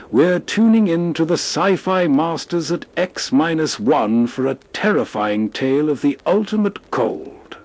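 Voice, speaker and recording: A man's voice: on the high side, creaky, darkish tone. A man reading a scripted, nostalgic late-night introduction as a radio show host.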